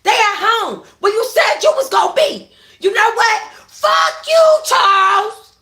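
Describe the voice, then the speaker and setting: A woman's voice: very high voice, animated, measured pace, bright, forceful tone, roomy sound, voice pushed up, exaggerated voice. A woman voicing a furious, scorned young woman who screams taunts in a foul-mouthed, confrontational way, in an audiobook-style story reading. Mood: enraged.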